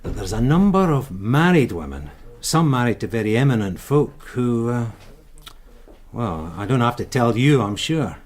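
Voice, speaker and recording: A man's voice: medium pitch, very animated. An actor playing a weary male detective sergeant in a radio drama, dryly deferential and understated. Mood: dry briefing. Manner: dry, understated, measured briefing.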